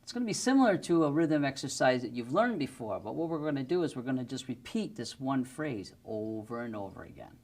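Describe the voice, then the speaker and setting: A man's voice: medium pitch, very animated, fairly quick, hardly any pauses, a little mellow. A middle-aged man giving a methodical, step-by-step music lesson to the camera, speaking into a lapel mic in a studio. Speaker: music teacher. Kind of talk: instructional demonstration to camera. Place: indoor studio with plain backdrop.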